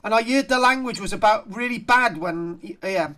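Chatty, halting South Welsh male lecturer. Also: high-pitched, pushed and bright. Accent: South Wales. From Barry, south Wales. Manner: chatty, jokey, digressive, halting. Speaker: archaeology lecturer. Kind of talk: informal online lecture, reading aloud and commenting. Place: indoor room, on a group video call.

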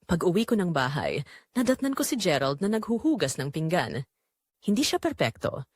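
A woman acts out a pregnant young wife burdened by a secret affair in an audio drama. Her voice is on the low side and swings expressively as she confesses in a measured, anguished way. The sound is dry and close. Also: very animated, dry recording. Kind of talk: first-person dramatic confessional narration.

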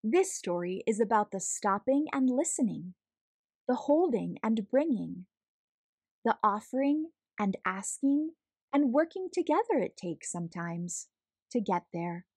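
A woman reading a children's picture book aloud as a storyteller, in a deliberate, expressive, measured way. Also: on the high side, husky, no room sound, clean studio sound. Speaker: read-aloud storyteller, host.

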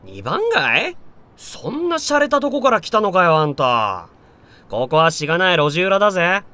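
An actor playing a naive, loyal young stray tomcat, a rookie gang underling with boyish, slangy talk in a voice drama. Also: male voice, high voice, sing-song, moderate pace, sharp tone, full character voice. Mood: wary, flustered. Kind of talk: Japanese voice drama acting.

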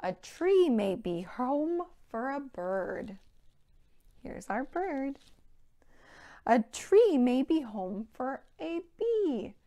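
An American woman in her thirties presenting a children's storytime, speaking clearly and animatedly in simple phrases to young children. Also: sits high, sing-song, drawn-out words, warm tone. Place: indoor children's play room.

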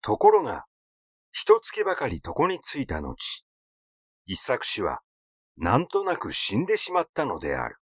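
A man's voice: mid-range voice, wildly swooping pitch, a little slow, slightly rough, no echo, studio quality. A man reading a literary mystery story aloud as its narrator. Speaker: audiobook narrator.

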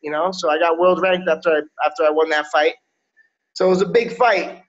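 High-voiced fighter with street slang. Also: male voice, fairly even intonation, fairly quick. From Miami. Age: 30s. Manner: streetwise slang, frequent "yo" and "you know what I'm saying". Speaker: MMA fighter, guest. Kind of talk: casual interview over video call. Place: indoors, small room. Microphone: video call webcam. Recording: slightly dull lo-fi call audio.

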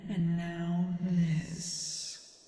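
A woman's voice: fairly low pitch, completely flat delivery, drawn-out words, dark tone. An actress playing a menacing, scornful female demon in a fantasy audio drama, sardonic and often slipping into a hiss. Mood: cold menace. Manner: sardonic, contemptuous, breaking into hissed whispers. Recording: echoey cavern reverb, background noise.

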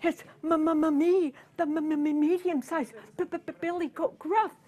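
A woman's voice: very high voice, steady tone, very slow, dark tone, voice pushed up, heavily acted. A woman voicing a nervous medium-sized billy goat who stutters.

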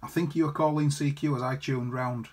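A man with a husky voice, an amateur radio operator chatting casually in friendly, jargon-filled radio talk. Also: medium pitch, fairly even intonation, fairly quick. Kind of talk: casual ham radio chat. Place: indoors at his radio set.

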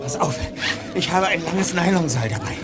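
A man's voice: fairly high pitch, animated, moderate pace, background noise, lightly acted. A man playing a resolute young male detective leader in an audio drama, speaking urgently and precisely. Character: boy detective leader, resolute but increasingly panicked. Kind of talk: German audio drama acting. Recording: music under the dialogue.

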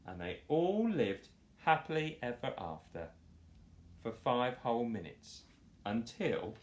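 A man in his thirties reading a picture book aloud to children in a playful, expressive storytelling style. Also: mid-range voice, slow. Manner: animated, playful delivery. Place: small living room, on a sofa indoors. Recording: roomy home echo.

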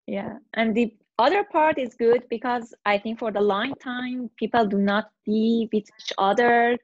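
A woman hosting an interview over a video call, speaking slow, careful English with a Persian accent. Her voice sits a little high. The audio sounds dull and dry. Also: no room sound. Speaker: interview host. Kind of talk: interview questions. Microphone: webcam on video call.

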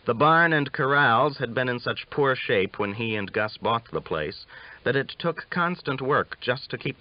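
A man reading a western novel aloud as a scripted audiobook narration. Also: mid-range voice. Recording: dull lo-fi audiobook recording.

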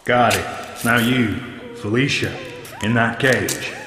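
An actor playing a cruel, crazed male keeper of captives in a radio drama, taunting and excitable, with rustic grammar. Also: medium pitch, slow, a loud crowd, lightly acted. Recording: echoey reverberant radio drama, loud music.

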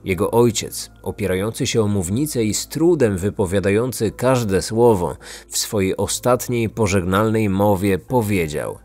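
A man narrates a true-crime documentary script. His voice is on the low side. Light music plays behind him. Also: moderate pace, a little mellow. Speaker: true-crime podcast host.

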